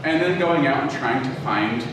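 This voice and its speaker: A man giving an informal lecture to an audience, speaking in a hesitant, halting, low-key way. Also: expressive. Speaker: guest lecturer, audio drama producer. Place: echoey room, live talk before an audience. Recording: echoey room, distant, clearly noisy background.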